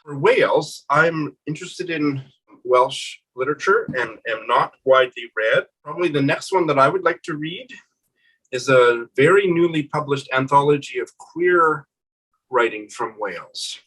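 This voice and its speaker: A middle-aged man in casual book chat, co-hosting and talking in a thoughtful, unhurried way. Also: a little high, animated, fairly bright tone, husky, low-quality recording. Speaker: booktuber co-host.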